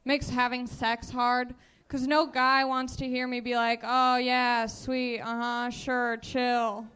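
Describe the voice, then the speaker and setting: Young woman stand-up, monotone, slow, bright tone. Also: on the high side, clear, resonant tone. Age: 20s. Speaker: stand-up comedian. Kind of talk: stand-up set.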